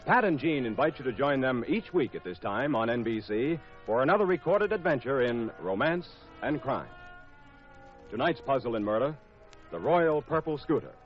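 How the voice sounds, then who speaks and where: Animated vintage radio announcer, man, over music. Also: mid-range voice, normal speed. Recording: muffled vintage radio recording.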